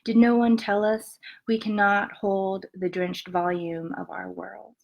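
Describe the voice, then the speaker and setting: A woman poet reading her poems aloud and talking softly between them, with many ums, on what sounds like a home webcam mic. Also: medium pitch, flat delivery, gravelly, lo-fi sound. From Montana and western Colorado, USA. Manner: soft-spoken, many ums, measured reading. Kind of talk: poetry reading with spoken introductions.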